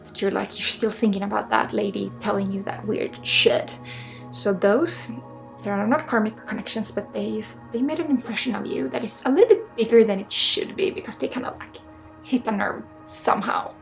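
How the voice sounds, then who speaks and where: Creaky-voiced Swedish woman, half-whispered ASMR. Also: mid-range voice, poor audio. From Sweden. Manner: slips often between soft voice and breathy whisper; casual, blunt, swearing, halting with asides. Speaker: spiritual healer and ASMR host. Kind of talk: ASMR energy healing, intimate guided talk. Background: music.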